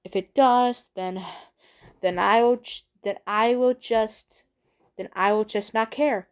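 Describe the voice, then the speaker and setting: A woman talking casually and unscripted as a video creator, in a halting, rambling way with repeated phrases. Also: fairly high pitch, fairly even intonation, clear, resonant tone. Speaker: video creator introducing own work. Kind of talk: casual unscripted vlog talk.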